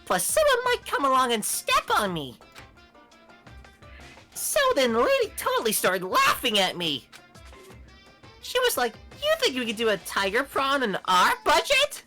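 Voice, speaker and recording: A mischievous robot bear mascot, high and piercing, swinging wildly in pitch. The delivery is gleeful and theatrical with an edge of menace, as in a cartoonish game, over faint music. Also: female voice, wildly swooping pitch, measured pace, pauses often, pushed and bright, exaggerated voice. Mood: theatrical storytelling. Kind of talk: hobby fan voice-over of a video game.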